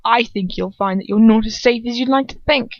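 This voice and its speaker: A woman's voice: on the high side, fairly quick, no room sound, highs cut off, pitched above the performer's own voice, close to an everyday voice. A woman voicing a defiant, sneering young wizard son who mocks his father in an audiobook-style story reading, with emphatic, incredulous delivery. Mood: mocking.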